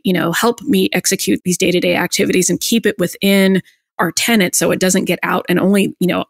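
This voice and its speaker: Woman, creaky voice, flat even intonation, close dry sound, conversational consultant explaining on a podcast. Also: mid-range voice, no room sound. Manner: conversational, punctuates points with "right?" and "you know". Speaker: technology consultant guest. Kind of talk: explanatory business podcast talk.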